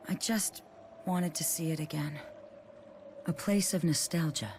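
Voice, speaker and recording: A woman's voice: sits low, a little slow, noisy background. An actress voicing a proud, aloof and melancholy ancient female spirit of ice in a fantasy game, reserved and formal. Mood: wistful. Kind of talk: video game cutscene voice acting. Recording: game music behind the voice.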